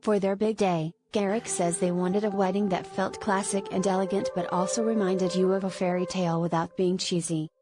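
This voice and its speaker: A woman narrates a scripted celebrity news piece at a middling pitch. She reads it aloud in a measured way, with music playing behind her voice. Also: little pitch movement.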